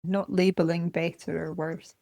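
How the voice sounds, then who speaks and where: A woman meditation teacher leading a guided body scan in a calm, gentle, measured way, speaking in short phrases. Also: on the low side, vocal fry, no room sound, clean studio sound.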